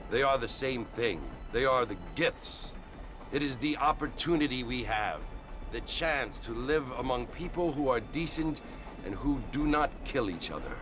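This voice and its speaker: A man's voice: mid-range voice, natural voice. A man playing a middle-aged, pious and patronizing preacher in a western video game. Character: pious, condescending, paternal frontier preacher man.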